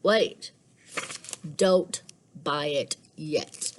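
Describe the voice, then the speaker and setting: A woman reading the part of a thoughtful, stubborn young boy in a storybook, in a deliberate way. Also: low-pitched, a little hiss or hum. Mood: insistent.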